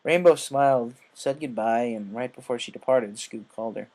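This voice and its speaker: A man's voice: mid-range voice, moderate pace, a little mellow. A man reading scripted story narration as the storyteller. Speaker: story reader. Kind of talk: scripted fan-fiction narration.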